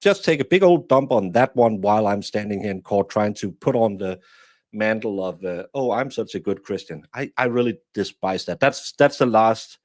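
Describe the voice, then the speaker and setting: A man's voice: fairly low pitch, lively intonation, normal speed, no room sound, clean studio sound. A man from Denmark, a guest commentator chatting bluntly with many restarts. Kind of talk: casual commentary chat.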